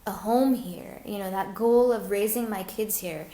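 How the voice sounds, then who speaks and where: A woman's voice: mid-range voice, measured pace, mellow, echoey room. A woman speaking as a guest in a live online Q&A, talking earnestly with many fillers and restarts. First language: English. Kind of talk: live webinar Q&A.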